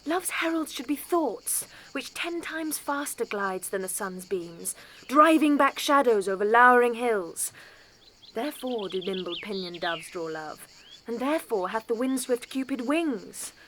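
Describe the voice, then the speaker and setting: Impetuous lovestruck girl, high and swooping. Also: expressive, a little slow, a bit bright, slight background noise. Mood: impatient musing. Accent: British English. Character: Juliet, lovestruck impatient teenage girl, eager and impetuous. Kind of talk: classical Shakespearean audio drama acting.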